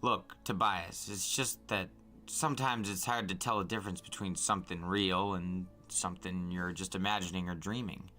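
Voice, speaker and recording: A man reads the part of a sleepy, skeptical teenage boy in a storybook. His voice sits fairly low, and he talks hesitantly and doubtfully. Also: fairly even intonation, normal speed, sharp tone, faint music behind.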